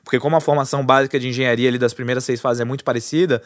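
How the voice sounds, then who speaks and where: A man's voice: medium pitch, very fast, dry recording, clean studio sound. Chatty Brazilian man in his thirties. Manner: relaxed and chatty, with frequent fillers and self-correcting restarts. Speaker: guest, entrepreneur. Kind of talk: casual storytelling interview.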